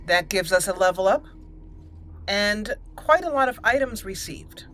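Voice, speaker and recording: Slow-paced woman narrating her gameplay. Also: medium pitch, lively intonation, a bit bright. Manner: thinks aloud, deliberate, reads out menu items. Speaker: gaming channel host. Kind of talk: casual roleplay game commentary. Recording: noticeable background noise and game ambience.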